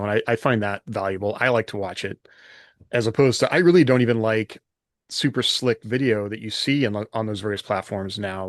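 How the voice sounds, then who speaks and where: A man's voice: fairly low pitch, no echo, studio quality. A man co-hosting a podcast in a casual, conversational style. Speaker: podcast co-host and fiction writer.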